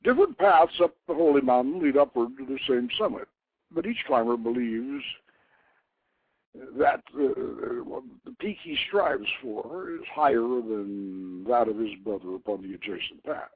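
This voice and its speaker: An older man hosting a radio show, reading aloud and commenting informally in a deliberate storyteller's manner. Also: medium pitch, lively intonation, slightly rough, dry recording. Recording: narrow phone-like line, dry.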